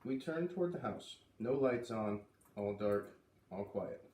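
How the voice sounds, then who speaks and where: A man reads a children's story aloud with expression, giving the dialogue a light lift. His voice sits at some distance from the microphone, with clear room echo around it. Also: fairly low pitch, expressive, measured pace, mellow. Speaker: reader of a children's book read-aloud series. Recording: roomy, voice away from the microphone.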